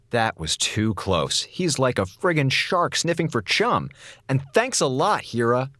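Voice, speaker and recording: An actor playing a weary, sarcastic communications officer on a space station in a radio drama, wry and melodramatic. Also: male voice, mid-range voice, sing-song, slow, sharp tone, heavily acted. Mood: indignant. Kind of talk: radio drama acting, log narration.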